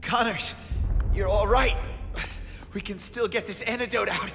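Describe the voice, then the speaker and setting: A man's voice: high voice, steady tone, measured pace, slight background noise. A young man voicing a battered, stubborn, wisecracking superhero in a video game. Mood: relieved, stubborn. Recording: long reverb, loud music behind. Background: loud score music.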